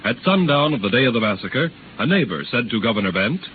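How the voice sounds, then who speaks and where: A man's voice: mid-range voice, big pitch swings, fast talker, narrow, tinny audio. A man narrating a scripted 1930s radio drama in a solemn, declamatory manner. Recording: noisy, thin 1930s radio recording.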